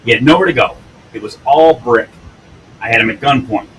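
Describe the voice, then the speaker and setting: A man's voice: medium pitch, a little fast, fairly bright tone, slight background noise, rough recording. A man in his fifties, a police use-of-force instructor telling stories in an interview, blunt and earthy, often tagging lines with "right?". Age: 50s. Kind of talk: storytelling in an interview.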